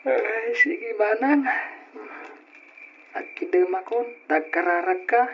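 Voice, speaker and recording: A woman's voice: fairly high pitch, slow pace. A woman with an Ilocano accent voicing an obedient, timid little brother in a radio drama. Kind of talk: Ilocano old-time radio drama acting. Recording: dull, noisy lo-fi old radio recording.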